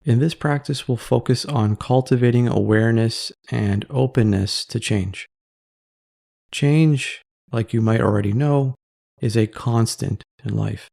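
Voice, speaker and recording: A man leading a guided meditation in a soft-spoken, measured, calming way with pauses between phrases. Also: medium pitch, creaky, no room sound, clean studio sound.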